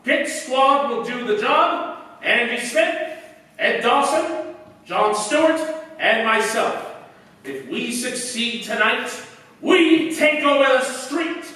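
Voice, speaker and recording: A fanatical vigilante boss from a 1940s radio play, acted by a man, harangues in slow, commanding phrases. The high-pitched bully is heard from far off in a very echoey hall. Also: pushed and bright, heavily acted. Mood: rabble-rousing. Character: fanatical vigilante gang boss, male, rabble-rousing bully. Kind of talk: staged 1940s radio drama acting. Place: live stage performance in a hall.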